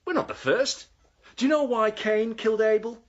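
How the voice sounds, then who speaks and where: A man's voice: high-pitched, moderate pace, highs cut off, lightly acted. An actor playing a bitter, sardonic British male vet, a villain in a radio drama. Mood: bitter, menacing. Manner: sardonic, taunting.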